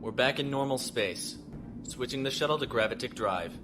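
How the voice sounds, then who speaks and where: An actor playing a steady, wry imperial officer piloting a shuttle in a science fiction audio drama. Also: male voice, medium pitch, moderate pace, lightly acted. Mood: calm, wry. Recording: music behind the voice.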